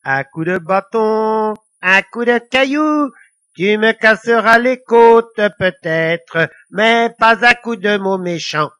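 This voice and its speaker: Man playing a sneering, theatrical little devil, bright-voiced. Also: high voice, a little slow, heavily acted. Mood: gleeful, taunting. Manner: sneering, theatrical, cackling taunts. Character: mischievous, boastful five-thousand-year-old little devil, male.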